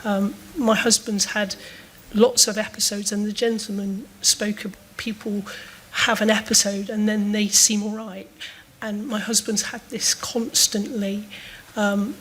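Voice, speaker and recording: Brief: woman in the audience, halting and hesitant, mid-pitch, asking a panel. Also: level tone, a bit bright, a little hiss or hum, low-quality recording. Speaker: audience member asking a question. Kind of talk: spontaneous question at a panel discussion.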